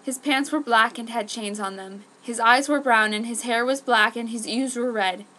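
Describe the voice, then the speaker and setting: A woman's voice: fairly high pitch, normal speed, pushed and bright, poor audio. A woman reading fan fiction aloud in an exaggerated, mock-serious dramatic style. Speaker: amateur fan fiction reader.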